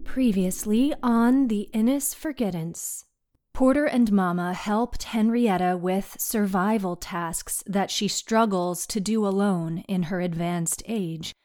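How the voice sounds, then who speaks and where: A woman reads a spooky piece of serial fiction as its narrator. Her delivery is unhurried and measured, with a hushed edge. The tone is soft and relaxed, never sharp. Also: mid-range voice. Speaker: host and narrator of a fiction podcast. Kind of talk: scripted spooky story narration.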